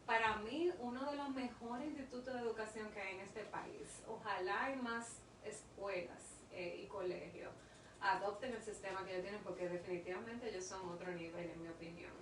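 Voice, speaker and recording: A woman's voice: mid-range voice, normal speed. A woman with a Dominican accent speaking as an interview guest, earnest and emphatic, often repeating words for stress. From Dominican Republic. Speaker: interview guest, industrial engineer. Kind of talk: casual interview conversation. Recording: echoey room, distant mic, noisy.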